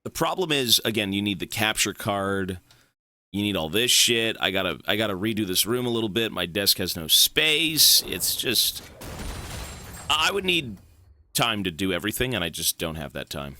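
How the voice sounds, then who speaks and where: A man from Canada talks casually on a game stream, rambling and cursing as he reads chat. His voice is very bright and lively, sitting a bit low, with faint noise behind it. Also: normal speed. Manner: rambling, swears, answers chat.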